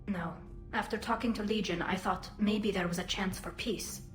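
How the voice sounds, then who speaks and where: A young female alien admiral in a video game. She speaks earnestly, with self-doubt, through a helmet filter. The voice sits at a medium pitch in a roomy sound. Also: steady tone, moderate pace, soft background music. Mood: earnest, guarded. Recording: roomy, faint ambient noise.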